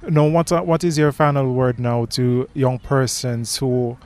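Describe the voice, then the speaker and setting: Jamaican male reporter, medium pitch. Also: fairly even intonation, unhurried, darkish tone. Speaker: radio reporter, interviewer. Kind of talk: interview questions.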